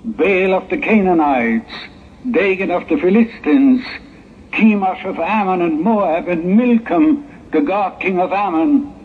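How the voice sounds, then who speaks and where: An actor playing a bold Hebrew prophet proclaiming doom, solemn and declamatory, in a 1960s religious audio drama. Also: male voice, high-pitched, expressive, unhurried. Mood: defiant. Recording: muffled, phone-like, noisy 1960s recording.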